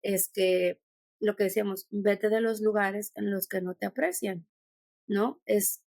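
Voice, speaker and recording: A woman's voice: medium pitch, steady tone, a little slow, gravelly, darkish tone, no echo. A Mexican woman with a Mexican accent, a podcast guest talking thoughtfully and meandering, with many restarts. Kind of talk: conversational podcast interview.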